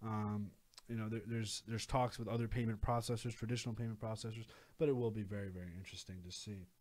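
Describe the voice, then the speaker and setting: A man's voice: on the low side, steady tone, fast, a little mellow. A young man hosting a casual video, talking through price charts with lots of fillers and restarts. He is losing his voice. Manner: rambling, many fillers and restarts. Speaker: crypto channel host. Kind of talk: casual screen-share chart commentary.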